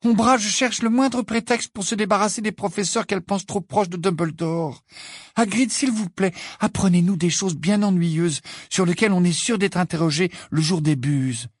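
A man puts on an earnest teenage schoolgirl for a storybook. The pitch is lifted well up, and the delivery is lively, urgent and insistent. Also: moderate pace, dry recording, clean studio sound. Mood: pleading.

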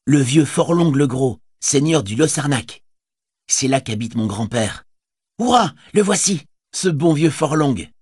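A man voicing a proud, eager ten-year-old boy, a guard's son, who talks excitably and boastfully in an audiobook reading. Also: medium pitch, unhurried, slightly rough, dry recording, clean studio sound, pitched above the performer's own voice. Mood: excited. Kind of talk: audiobook character voice.

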